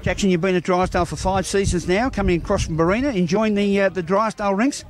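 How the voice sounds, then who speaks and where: An Australian man reporting on local sport in a fairly high male voice. He talks quickly, putting questions in formal, wordy broadcast phrases.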